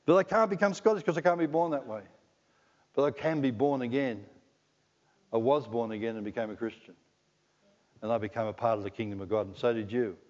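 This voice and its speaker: Middle-aged Australian preacher in a reverberant hall. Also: male voice, mid-range voice, fairly quick, long pauses, darkish tone. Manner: conversational, joking, asks the congregation questions. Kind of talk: sermon. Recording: dull lo-fi recording in a reverberant hall.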